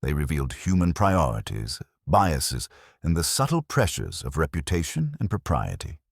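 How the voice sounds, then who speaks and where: A man with a very deep voice narrates a detective story in measured, formal phrasing. His pitch rises and falls in an animated way, and the recording is dry and close, free of room sound. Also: vocal fry, husky, no echo. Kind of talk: scripted mystery story narration.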